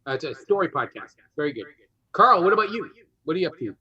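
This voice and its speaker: A man with a high, very bright voice hosts a game show. He talks off the cuff and jokes with the players as he moves things along. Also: very animated, quick pace, husky, lo-fi sound. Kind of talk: unscripted, joking game show hosting.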